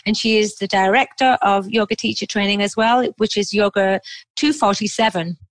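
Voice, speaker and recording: A woman's voice: mid-range voice, moderate pace, dry recording. A woman in her forties hosting a podcast, chatting casually and bubbly with a guest over a video call. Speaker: podcast host. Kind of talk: casual interview chat. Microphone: close podcast mic, video call.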